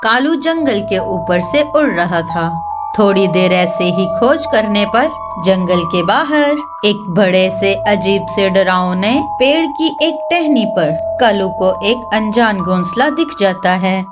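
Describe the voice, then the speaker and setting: A woman's voice: mid-range voice, animated, slow. A woman reading scripted narration for a children's cartoon story as the storyteller. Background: music.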